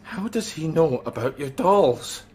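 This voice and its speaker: An actor playing a guilt-ridden, confused middle-aged Scottish drunk in a video game, rough-spoken and halting. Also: male voice, on the high side, expressive, darkish tone. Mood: bewildered. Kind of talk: dramatic video game acting.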